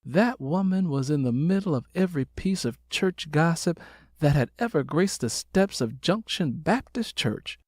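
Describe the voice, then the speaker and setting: A man's voice: on the high side, darkish tone, no room sound, clean studio sound. A man reading scripted first-person fiction as an audiobook narrator.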